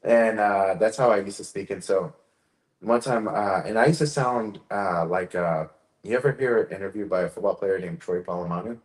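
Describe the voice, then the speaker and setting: A man's voice: fairly low pitch, normal speed, echoey room. A man who acts, chatting casually and laughing often as he tells stories. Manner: laughs often, shy-sounding storyteller. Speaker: actor. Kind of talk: casual chat.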